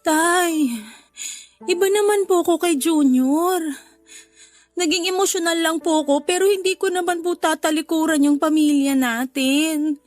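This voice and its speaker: Radio drama daughter, played by a woman: young, extremely high voice, weary, hurt, tearful pleading, faint music underneath. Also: a little slow. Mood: tearful, earnest. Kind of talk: Filipino radio drama acting.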